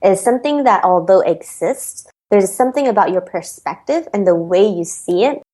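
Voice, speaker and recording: A woman's voice: mid-range voice, expressive, normal speed, mellow, husky. A woman with an American accent, a performance coach, giving reflective interview answers with frequent ums.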